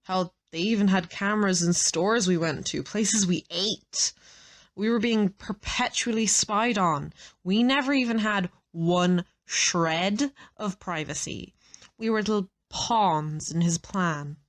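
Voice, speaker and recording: A woman's voice: medium pitch, slow, close to an everyday voice. A woman acting in an audio drama as a brash, sardonic, hard-bitten assassin who swears freely. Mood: grave, explaining. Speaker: voice actor in an audio roleplay.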